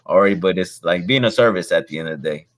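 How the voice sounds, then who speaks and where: A man's voice: low-pitched, fast talker. A man hosting a casual interview, friendly and rambling, with a habit of saying "bro" and "my man". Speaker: host, real estate investor.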